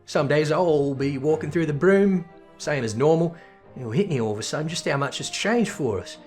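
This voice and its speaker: A man's voice: on the high side, animated, normal speed, darkish tone, soft background music, pitched above the performer's own voice, close to an everyday voice. A man voicing a blunt, streetwise woman from a game's slums, with salty, colloquial working-class British phrasing. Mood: reflective, blunt. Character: blunt, streetwise slum woman leading commoners, plain-spoken.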